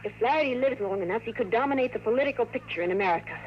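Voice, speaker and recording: A woman's voice: on the high side, racing, close to an everyday voice. Anguished, earnest female time traveler played by a woman, 1950s radio melodrama, muffled noisy recording. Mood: resigned, confessing. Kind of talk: 1950s radio drama acting.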